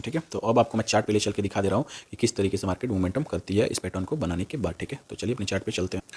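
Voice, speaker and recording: A young man giving an informal, unscripted tutorial, repeating himself and often tagging phrases with a quick check word. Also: fairly low pitch, darkish tone, husky. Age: young adult. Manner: repetitive, with a frequent "okay" tag after phrases. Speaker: stock trading tutor on YouTube.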